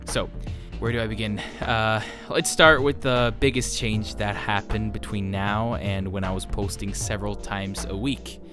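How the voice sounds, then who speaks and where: Earnest low-voiced young man over guitar music. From Norway. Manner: earnest and reflective, with frequent "so yeah" fillers. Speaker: YouTube creator. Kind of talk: personal update monologue.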